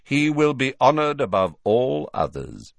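A man reading an audiobook, voicing a cold, guarded middle-aged wizard who speaks flatly and quietly. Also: mid-range voice, gravelly, no room sound, voice pushed up. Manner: flat, quiet, measured.

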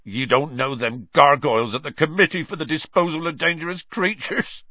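A man doing a gruff, weeping giant gamekeeper. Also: a little high, fairly even intonation, moderate pace, no echo, muffled recording, voice pushed up, lightly acted. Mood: tearful. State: crying.

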